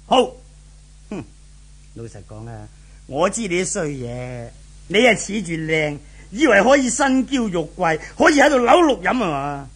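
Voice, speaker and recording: A menacing brothel boss in a seventies Hong Kong Cantonese radio serial. The delivery is sneering and theatrical, swinging widely in pitch. Grunts and sharp questioning barks punctuate the bullying. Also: male voice, very animated, moderate pace, full character voice. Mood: sneering menace. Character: cruel, menacing brothel boss who bullies captives. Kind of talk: 1970s radio drama acting.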